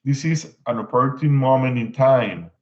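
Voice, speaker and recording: A man from Puerto Rico with a Puerto Rican Spanish accent, giving prepared panel remarks as an agency official, halting with fillers and restarts. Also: mid-range voice. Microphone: video call. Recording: dull lo-fi video call audio.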